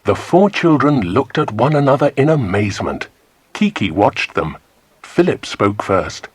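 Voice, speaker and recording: A man's voice: medium pitch, big pitch swings, a little slow, husky, no room sound. A man narrating a children's audiobook as a storyteller.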